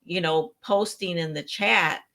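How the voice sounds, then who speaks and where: A woman with a somewhat low voice talks enthusiastically and reflectively in a relaxed conversation. Fillers appear naturally as she thinks aloud. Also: measured pace. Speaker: co-host. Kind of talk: casual chat.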